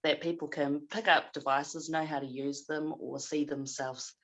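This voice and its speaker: A woman with a New Zealand accent speaking on a video-call panel, relaxed and thoughtful with some hesitation. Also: low voice, moderate pace, a little hiss or hum. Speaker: multimedia artist and panelist. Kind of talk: casual self-introduction and discussion.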